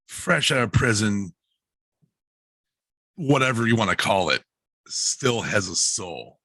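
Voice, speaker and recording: Bright, forceful middle-aged man. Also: on the low side, dry recording, poor audio. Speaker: panel guest. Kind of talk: casual storytelling chat.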